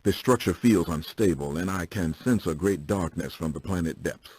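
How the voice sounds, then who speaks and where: A man's voice: low-pitched, normal speed, a little mellow, husky. A man voicing a resolute, serious Jedi warrior in a video game cutscene. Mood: grave, uneasy.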